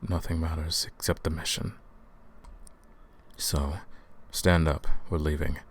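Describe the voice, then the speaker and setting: A man voicing a guilt-ridden, centuries-old vampire hunter in a slow, hushed, somber roleplay monologue. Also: rumbling low, level tone, slightly rough, full character voice. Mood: grim, resolute. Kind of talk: scripted ASMR roleplay monologue.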